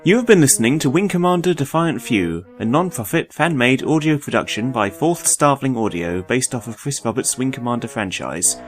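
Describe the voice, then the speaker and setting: A man's voice: medium pitch, lively intonation, a little fast, few pauses, soft background music. A man reading scripted closing credits for an audio drama as an announcer.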